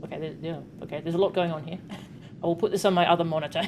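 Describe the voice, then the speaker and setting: A woman's voice: low voice, steady tone, fast, a little mellow, slight background noise. A woman game streamer chatting casually to her viewers, informal and given to muttered asides. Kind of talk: casual live stream chat, reading game text aloud.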